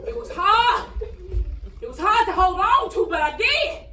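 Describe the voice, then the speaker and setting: Woman acting very high-voiced frightened country girl, echoey. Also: animated, sharp tone, full character voice. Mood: tense, defiant. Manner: halting, repeating words, highly emotional storytelling. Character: frightened young country girl recounting an attack, haunted, defiant. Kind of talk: dramatic stage monologue. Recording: echoey room, distant mic, faint noise.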